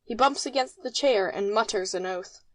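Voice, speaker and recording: A woman's voice: medium pitch, moderate pace, no room sound. A woman narrating, reading stage directions from a script.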